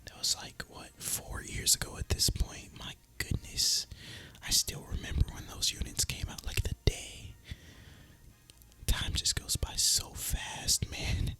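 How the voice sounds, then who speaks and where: Male ASMR gaming creator: breathy whisper throughout, crisp sibilant edge, relaxed and rambling delivery. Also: unhurried, faint music behind, low-quality recording. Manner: relaxed, rambling, casual and reflective. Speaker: video creator and gamer.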